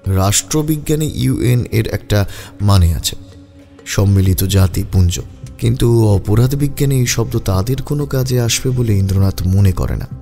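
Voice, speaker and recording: Low, very mellow male story narrator. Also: lively intonation, moderate pace, vocal fry. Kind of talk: scripted audio story narration. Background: music.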